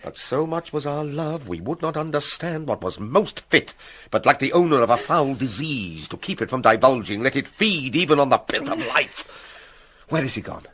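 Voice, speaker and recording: Husky, declamatory British king. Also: medium pitch, lively intonation, normal speed, fairly bright tone. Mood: alarmed. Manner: grave, declamatory, urgent. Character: Claudius, troubled, calculating, commanding king. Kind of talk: classical Shakespearean radio drama acting.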